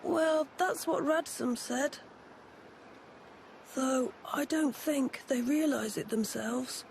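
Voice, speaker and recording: A woman's voice: high voice, slow pace, gravelly, slight background noise, full character voice. A woman voicing an eager, bookish young boy in a video game, chirpy and informal. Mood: friendly. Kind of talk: video game cutscene acting.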